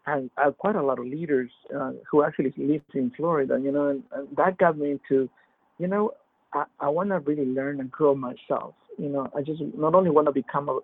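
Male guest, halting casual testimony, tinny dry phone-line sound. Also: mid-range voice, husky, dry recording. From Peru. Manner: halting, many fillers and restarts. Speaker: podcast guest.

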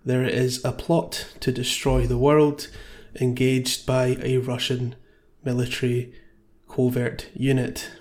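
A man's voice: medium pitch, flat delivery, takes it slowly, darkish tone. A man taking part as a contestant in a comedy panel game, bantering and improvising reviews.

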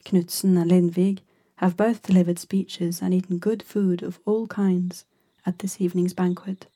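Flat, mellow woman narrator. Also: on the low side. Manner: steady, even, measured. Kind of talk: scripted narration.

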